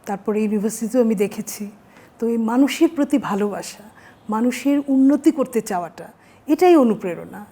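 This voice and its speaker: A Bangladeshi woman answers interview questions earnestly, in short, measured phrases. Her voice is soft and dark in tone, pitched a bit above the middle. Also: lively intonation, breathy edge. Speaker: interview guest, minister's wife.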